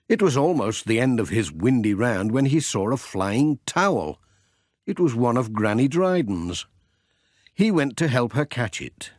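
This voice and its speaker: Slow, very expressive male storyteller. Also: medium pitch, no echo, studio quality. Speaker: audiobook narrator. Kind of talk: children's story narration.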